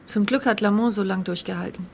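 A woman's voice: medium pitch, brisk, gravelly, a little hiss or hum, lightly acted. An actress playing a weary, troubled career woman, subdued and confiding, in a naturalistic radio drama. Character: weary, troubled female banker.

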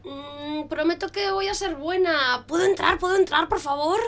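Woman playing a very high-pitched cheeky schoolgirl. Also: normal speed. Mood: wheedling. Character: cheeky schoolgirl, the headmistress's daughter, mocking and wheedling. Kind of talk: scripted audio drama.

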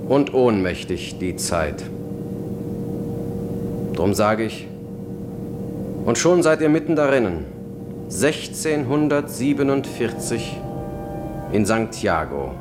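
A man narrating a 1950s radio drama solemnly, in measured, weighty short phrases. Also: medium pitch, sing-song, heavy background noise. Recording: loud music behind the voice. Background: music.

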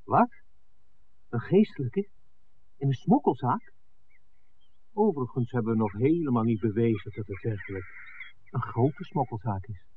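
An actor playing an impatient, pragmatic film maker in a 1960s radio drama, blunt and insistent. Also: male voice, medium pitch, very animated, moderate pace, pauses often, lightly acted. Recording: tinny, noisy 1960s radio recording.

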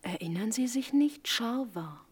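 An actress playing a naive, blunt young survivor in a German radio play, speaking in a hushed, plain, questioning way. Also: female voice, fairly high pitch, lively intonation, a little slow, slightly rough, full character voice. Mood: quietly remembering. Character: Marischka, naive, blunt young girl, later remembering survivor.

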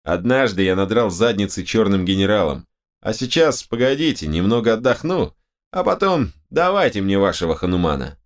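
A man's voice: on the low side, sing-song, moderate pace. A man voicing a cocky, defiant adventurer hero with offhand banter in a fantasy audiobook.

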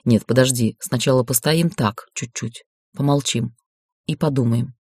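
A man voicing a hushed, low-toned raven lord. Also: husky, no room sound, clean studio sound. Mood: gentle, persuasive. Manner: hushed, soothing, measured. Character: calm, commanding, tender raven lord, adult man.

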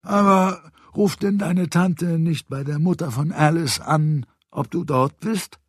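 A man voicing a nosy, mocking gentleman in an audiobook, incredulous, needling and easily affronted. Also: fairly high pitch, takes it slowly, breathy edge, dry recording, studio quality, voice pushed up. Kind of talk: audiobook character voice.

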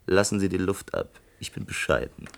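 A man's voice: low-pitched, lively intonation, normal speed, gravelly, in a whisper. A man playing a brazen young student blackmailer in a German radio play, sardonic, taunting and smug. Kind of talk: German radio drama acting.

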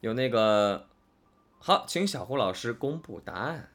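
A man's voice: mid-range voice, expressive, measured pace, fairly bright tone, soft background music. A man hosting a fan quiz livestream in a casual, chatty style, putting questions and checking answers.

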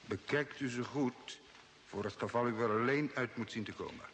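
A man's voice: mid-range voice, normal speed, a bit bright, close to an everyday voice. Actor as formal male guide in reverberant hall. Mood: instructive. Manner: formal, instructive. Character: formal, authoritative guide to an alien base. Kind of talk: radio drama acting. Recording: noisy old radio recording, long reverb.

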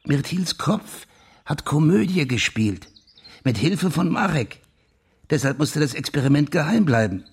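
An actor playing a police official in a German crime radio drama, insistently laying out his theory with a husky, gravelly voice. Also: a little high, very animated, a little slow, exaggerated voice. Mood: intent, explanatory.

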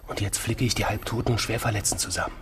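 A man playing a weary trauma surgeon held hostage, confiding in a low-key, halting way in a naturalistic radio drama. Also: a bit bright, husky. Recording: noisy ambience behind close voice.

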